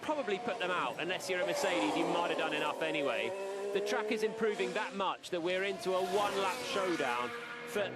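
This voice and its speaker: Male co-commentator for live motorsport, high voice, animated and opinionated, over loud broadcast track sound. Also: a little fast, loud music behind the voice. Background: racing car engines.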